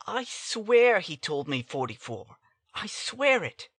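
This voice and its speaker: Guy doing a bewildered young doctor in an audiobook, hesitant, slow but very up and down, dry sound. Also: high voice, sing-song, fairly bright tone, husky, dry recording, full character voice. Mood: frightened, protesting. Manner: hesitant, protesting. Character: nervous young male doctor, defensive and bewildered. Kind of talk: dramatic audiobook reading.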